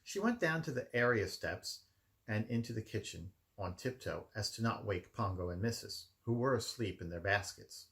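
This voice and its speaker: Male storybook reader, home setting, deep-leaning register with heavy vocal fry, expressive narration. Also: normal speed. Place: his room at home.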